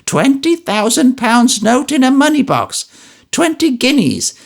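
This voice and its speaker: Male reader doing a senile old crone, very high and shrill, jabbering. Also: sing-song, unhurried, gravelly, full character voice. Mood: frantic. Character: senile shrieking old woman, dozing wife.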